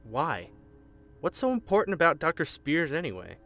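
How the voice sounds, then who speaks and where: A man playing an easygoing but excitable, tech-savvy lab assistant in an audio drama. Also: fairly high pitch, moderate pace, soft background music. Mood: relaxed, curious.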